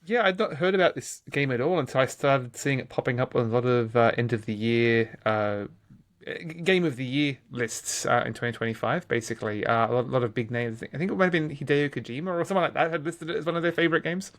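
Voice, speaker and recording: Mid-pitched man co-hosting a games podcast. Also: fairly quick. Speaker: podcast co-host. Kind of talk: casual game discussion.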